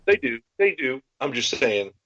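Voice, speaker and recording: A man's voice: medium pitch, very animated, normal speed, pushed and bright, no echo, highs cut off. A man co-hosting a podcast, explaining tabletop gaming options enthusiastically in casual chat. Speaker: podcast co-host.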